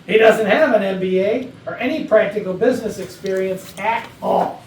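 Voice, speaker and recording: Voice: mid-range voice, moderate pace, close to an everyday voice. An actor playing a blunt detective who presses suspects with authority in a staged murder mystery comedy. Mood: accusing. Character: blunt, probing detective leading a murder interrogation. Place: rehearsal room for a staged reading. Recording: echoey room, distant mic, background noise.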